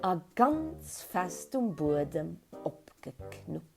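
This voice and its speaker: A woman with a Luxembourgish accent telling a children's story in a dramatic, animated way, with emphatic build-ups and repetition. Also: lowish voice, extremely slow, gravelly, faint music behind.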